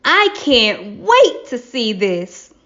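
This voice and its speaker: A woman voicing an earnest schoolchild in a classroom play, with exaggerated, clearly enunciated delivery. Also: fairly high pitch, big pitch swings, drawn-out words, fairly bright tone, heavily acted. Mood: eager. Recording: slightly dull lo-fi recording.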